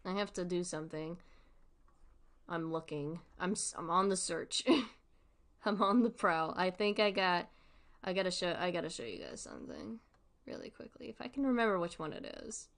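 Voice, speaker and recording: A woman's voice: lowish voice, lively intonation, normal speed, a bit bright. A woman streamer giving casual livestream commentary in an informal, rambling, giggly way.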